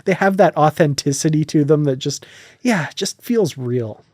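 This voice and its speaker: A man's voice: fairly high pitch, animated, moderate pace, a little mellow, no room sound, clean studio sound. A man hosting a casual podcast discussion, explaining his points and checking in with his listener as he goes. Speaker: podcast host. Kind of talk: casual podcast discussion of a science fiction franchise.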